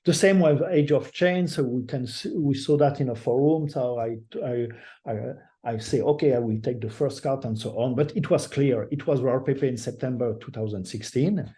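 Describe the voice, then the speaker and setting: Older French-accented guest, mellow tone, halting and self-correcting delivery. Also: male voice, mid-range voice. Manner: halting, restarts phrases, laughs often. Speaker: guest artist. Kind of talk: casual interview over a call.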